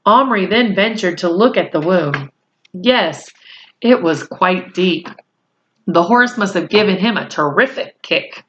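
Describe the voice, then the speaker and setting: A woman reading a storybook aloud as narrator, in measured, deliberate phrases. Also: lowish voice, lively intonation, dull audio, a little hiss or hum.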